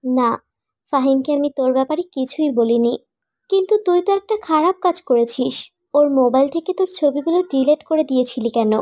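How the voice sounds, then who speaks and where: A woman playing a concerned, questioning young friend in an animated romantic drama. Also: high voice, brisk, no room sound, clean studio sound, close to an everyday voice. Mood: earnest, reproachful.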